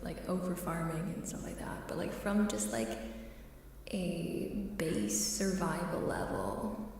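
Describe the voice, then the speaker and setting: Slow, echo-drenched ASMR woman streamer. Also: lowish voice, sing-song, vocal fry, distant mic. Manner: soft-spoken, often dropping into a whisper. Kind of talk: ASMR casual chat to stream viewers. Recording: heavily echoing reverberant room sound, faint noise.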